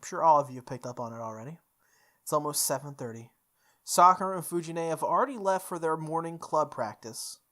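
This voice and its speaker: A woman's voice: deep voice, brisk, a bit bright. A woman hosting a casual game playthrough, reading narration aloud in an informal, reactive way. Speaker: gaming channel host.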